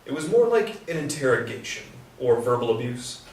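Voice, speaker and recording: A man's voice: medium pitch, very animated, moderate pace, darkish tone, lightly acted. A man acting earnest carpenter in a very echoey room. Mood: guarded, challenging. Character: Thomas, sensitive, earnest male carpenter, defends others. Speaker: actor in a staged play reading. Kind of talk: live stage play reading. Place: echoey indoor stage or hall. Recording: very echoey room, distant mic, faint hiss.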